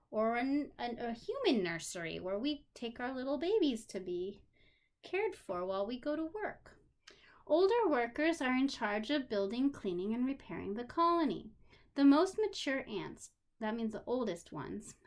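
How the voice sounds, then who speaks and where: A woman teacher reading aloud to young children in an expressive manner, pausing for simple explanations and questions. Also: fairly high pitch. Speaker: special education teacher.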